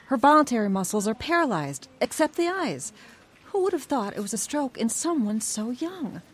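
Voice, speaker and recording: High-voiced, lively mother. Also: moderate pace, slightly rough. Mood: concerned. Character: concerned, encouraging mother of an adult daughter. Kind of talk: radio drama acting.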